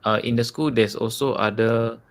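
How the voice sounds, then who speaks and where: A man's voice: mid-range voice, fairly even intonation, normal speed. A man with a Singaporean accent hosting an interview, asking questions and adding short agreeing sounds. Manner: questioning, many short backchannels. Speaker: host. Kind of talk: casual interview talk.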